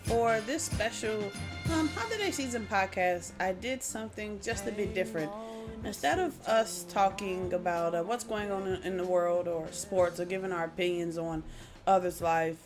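A woman's voice: mid-range voice, normal speed, over music. A woman introducing her holiday podcast, earnest and hesitant with fillers. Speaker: podcast host.

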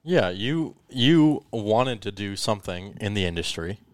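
A man's voice: fairly low pitch, lively intonation, measured pace, dry recording, studio quality. A man co-hosting a podcast, teasing the others with joking banter. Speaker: podcast co-host. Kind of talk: casual podcast chat.

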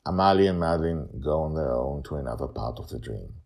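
A man with a gravelly, husky voice narrating a story as its author and host, in a theatrical, emphatic way with dramatic pauses. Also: extremely low pitch, lively intonation, a little mellow.

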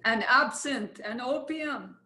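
A woman's voice: a little high, slow pace, pushed and bright, echoey room. A woman playing a blunt, worldly Russian artist's model in a play reading, with a Russian accent and clipped broken English. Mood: blunt, dry. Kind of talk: readers theatre play reading.

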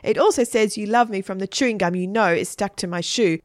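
A woman's voice: medium pitch, fairly quick, no echo, studio quality, lightly acted. A woman voicing a blunt schoolgirl in a story, demanding and incredulous.

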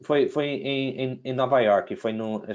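A man's voice: mid-range voice, unhurried, a little mellow, lo-fi sound. A middle-aged man with an American accent speaking Portuguese, telling his career story with many restarts and fillers and slipping in English words. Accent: American English, some Brazilian and European Portuguese. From United States. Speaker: investor guest. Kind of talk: casual interview talk.

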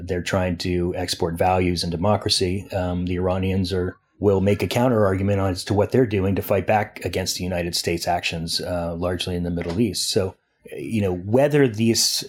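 Low husky-voiced man analyzing news. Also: a little mellow. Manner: discursive, many restarts and fillers. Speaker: foreign news editor, guest. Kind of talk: analytical interview talk.

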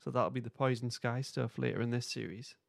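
Gravelly man chatting. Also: medium pitch, brisk. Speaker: podcast host. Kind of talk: casual episode recap and discussion.

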